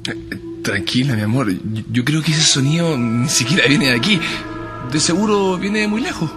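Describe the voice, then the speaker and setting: An actor playing a halting, reassuring husband in a radio mystery drama. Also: male voice, fairly high pitch, lively intonation, lightly acted. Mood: unsettled, soothing. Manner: halting, hesitant. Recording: music behind the voice.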